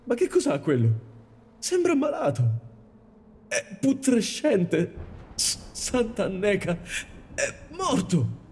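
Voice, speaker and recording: A man's voice: high-pitched, sing-song, slow pace, long pauses, darkish tone, a little hiss or hum, full character voice. A man playing a frightened woodcutter who has been bitten, in a radio drama. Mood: uneasy. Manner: fearful, pleading. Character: male woodcutter, bitten and sickening. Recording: long hall reverb.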